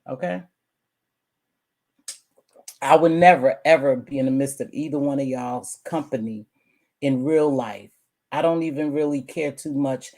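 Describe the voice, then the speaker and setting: Streamer woman, hoarse, sassy, scolding, casual rant straight to camera viewers. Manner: scolding, sassy, swings between loud outbursts and low mutters. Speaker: online streamer.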